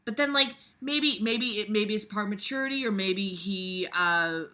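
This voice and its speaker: Very bright forceful voice, mid pitch, casual read-aloud, sarcastic, exasperated, sweary commentary. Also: unhurried. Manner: animated, breaks into mocking imitations. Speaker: host reading a book aloud and reacting to it.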